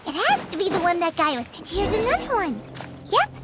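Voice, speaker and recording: A woman voicing a tiny, cheeky, childlike fairy companion in a video game, with a squeaky, cutesy, expressive delivery. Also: a little fast, very noisy, heavily acted. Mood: excited, investigating. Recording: loud game music behind.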